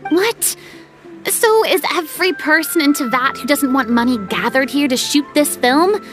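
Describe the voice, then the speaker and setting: A woman's voice: high-pitched, lively intonation, moderate pace, music in the background. A woman playing a theatrical, proud and playful young celebrity in a video game, with a pompous, dramatic manner. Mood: incredulous, wry.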